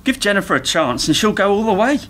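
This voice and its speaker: An actor playing an eager, naive younger techie in a radio play, rambling and over-explaining earnestly. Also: male voice, high voice, big pitch swings, quick pace, fairly bright tone. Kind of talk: community radio play dialogue.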